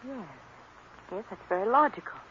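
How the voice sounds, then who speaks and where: A woman's voice: fairly high pitch, expressive, moderate pace, pushed and bright. An actress playing a sleuth's inquisitive, anxious female companion in an old radio mystery, earnest and questioning. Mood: curious. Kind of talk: 1940s radio mystery acting. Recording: dull, noisy old radio recording.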